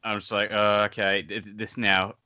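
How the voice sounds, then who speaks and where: Australian man, low voice with a bright, forceful edge, jokey podcast co-host, dry and dull sound. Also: little pitch movement, moderate pace, smooth, no room sound. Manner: chatty, jokey, emphatic. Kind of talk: casual chat. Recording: dull, muffled podcast audio.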